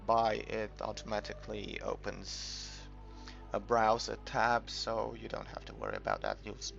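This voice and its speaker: A man's voice: lowish voice, animated, normal speed, gravelly, a bit bright. A man from the UK giving a casual, unscripted game walkthrough, rambling and hesitant as he thinks aloud. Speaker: gamer making a game tutorial. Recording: muffled, dull recording with background music.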